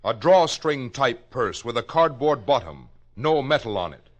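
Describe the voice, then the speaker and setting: A man with an American accent announcing facts from a script in crisp, clipped 1950s radio style. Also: mid-range voice, very animated, a little slow. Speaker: second announcer.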